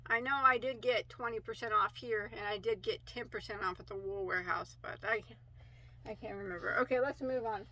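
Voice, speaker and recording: Bright-voiced Midwestern woman chatting about yarn. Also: mid-range voice, fairly even intonation, brisk, low-quality recording. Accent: American Midwest. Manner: chatty, rambling, many fillers and self-corrections. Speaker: crochet channel host. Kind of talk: casual yarn haul review.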